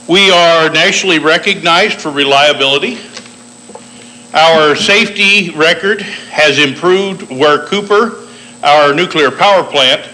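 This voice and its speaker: A male candidate at a public forum in a cafe, listing his record in a measured, point-by-point way. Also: a little high, pushed and bright, low-quality recording. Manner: measured, lists achievements point by point. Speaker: political candidate. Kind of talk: campaign speech at a public forum. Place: bakery cafe forum room.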